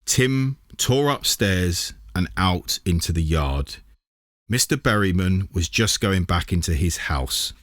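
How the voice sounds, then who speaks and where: Low-voiced man reading a storybook, unhurried. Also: animated, a bit bright, no room sound, clean studio sound. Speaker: reader of a children's book. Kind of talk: scripted storybook narration.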